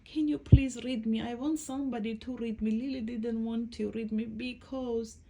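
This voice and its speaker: A woman's voice: a little high, steady tone, normal speed, dark tone, natural voice. A woman voicing a sad, desperate storybook book that begs to be read, in a pleading, plaintive manner.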